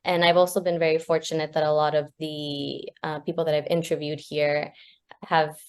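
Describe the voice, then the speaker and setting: A woman's voice: sits low, level tone, normal speed, a little mellow, clear, resonant tone. A woman who is a graduate student researcher, talking casually on a video call with plenty of fillers and easy laughs. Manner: chatty, laughs often, many fillers. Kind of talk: casual panel chat.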